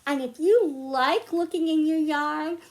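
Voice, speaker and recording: A woman with an American accent and a high voice leads a storytime for young children. She takes her time, speaking very slowly in simple phrases pitched at little listeners, as a children's librarian does. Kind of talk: picture-book read-aloud and simple teaching for young children.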